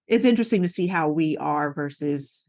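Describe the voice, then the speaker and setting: A middle-aged woman with an American accent, speaking as a podcast guest in casual, reflective, expressive conversation. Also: low-pitched, moderate pace. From United States.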